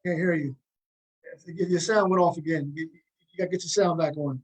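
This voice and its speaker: A man's voice: on the high side, fairly even intonation, moderate pace, poor audio. An American man hosting a casual interview over a video call. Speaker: host and playwright interviewing cast.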